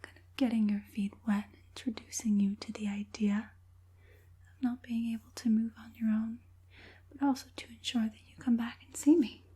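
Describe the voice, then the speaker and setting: A woman playing a softly menacing evil witch puppet master in an ASMR roleplay, whispering breathily with a coaxing, politely sinister manner. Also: fairly high pitch, steady tone, moderate pace, husky. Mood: sly, coaxing.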